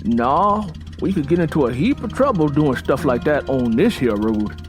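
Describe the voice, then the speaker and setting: An actor playing a stubborn, plainspoken young Southern husband in an audio drama, folksy and insistent, with a Southern US accent. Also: male voice, on the high side, lively intonation, normal speed, faint music behind, lightly acted. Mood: stubborn, wary. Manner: folksy, insistent, long-winded.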